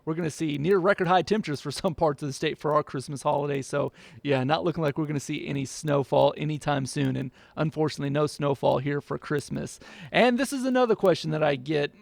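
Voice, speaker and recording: A man's voice: fairly high pitch, vocal fry, dry recording. A man from Oklahoma, a TV meteorologist, chatting upbeat and casually through a viewer Q&A, laughing now and then. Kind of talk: casual Q&A monologue.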